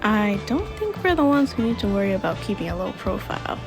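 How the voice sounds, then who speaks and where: Woman as young adventurer, game dialogue, mid register, gently teasing, easygoing, prominent music. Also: medium pitch, big pitch swings, fairly quick. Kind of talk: game character voice acting.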